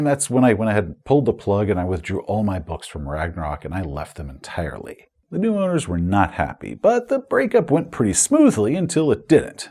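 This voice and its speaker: A man's voice: lowish voice, dark tone, husky. A man recounting his own career as an author and video host in a conversational, candid, emphatic way. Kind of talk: personal retrospective storytelling to camera.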